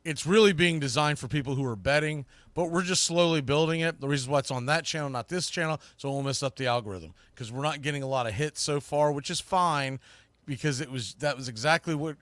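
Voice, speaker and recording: A man's voice: a little high, fairly bright tone, dry recording. Casual male sports podcast host, dry close sound. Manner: informal, loose, halting with self-corrections. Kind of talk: casual rambling show talk to viewers.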